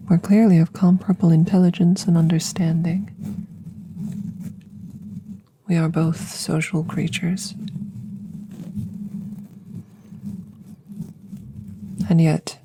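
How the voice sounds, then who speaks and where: Woman as a slow, whispery alien scientist girl. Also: fairly low pitch, slight background noise, lightly acted. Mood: musing, curious. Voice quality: soft, breathy whispering. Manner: precise, formal, detached, unhurried. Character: curious alien scientist girl, plant-like, calm and clinical. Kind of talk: ASMR audio roleplay, close soft whispering. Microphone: close microphone.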